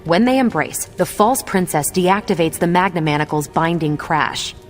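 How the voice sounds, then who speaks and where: A woman's voice: fairly low pitch, animated, moderate pace, faint music behind, natural voice. A woman acting as a confident superhero in a video game cutscene, with an assured, explanatory and slightly teasing manner. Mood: assured, knowing.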